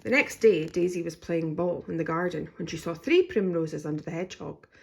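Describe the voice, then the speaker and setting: A woman's voice: on the low side, fairly quick, gravelly, a little mellow, husky. A woman narrating a children's story expressively as a storybook reader.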